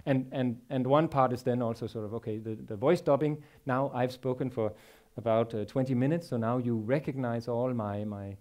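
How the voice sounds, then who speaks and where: A man in his forties with a Danish accent, speaking on a large conference stage through a headset mic. His voice is mellow and dark at a middling pitch. He stumbles and repeats words as he works through his points. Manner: halting, many repeated words and fillers. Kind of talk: technical conference talk.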